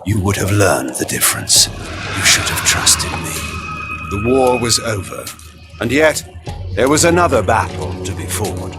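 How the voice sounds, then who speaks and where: An actor playing a proud, ruthless vampire conqueror in a video game, speaking with cold, eloquent authority. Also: male voice, on the low side, very animated. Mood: cold reflection. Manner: imperious, eloquent, deliberate diction. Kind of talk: theatrical game acting and monologue. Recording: loud background music.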